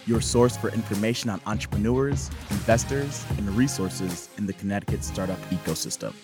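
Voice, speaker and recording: Male announcer, lowish voice, guitar bed, scripted intro. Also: brisk. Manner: polished announcer delivery. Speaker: show announcer. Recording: intro over guitar music.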